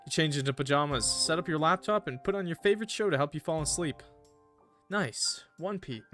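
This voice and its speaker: A man's voice: on the high side, brisk, a bit bright. A man streaming a visual novel, reading it aloud expressively with casual, jokey reactions. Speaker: gaming streamer. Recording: music behind the voice.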